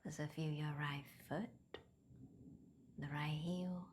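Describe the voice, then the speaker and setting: A woman leading a guided meditation in a soft, breathy near-whisper, with gentle, hesitant non-native phrasing. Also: low voice, fairly even intonation, slow pace, slight background noise. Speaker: meditation teacher.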